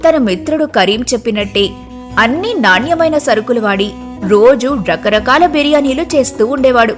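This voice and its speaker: High-pitched woman narrating a story. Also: animated, moderate pace, faint music behind.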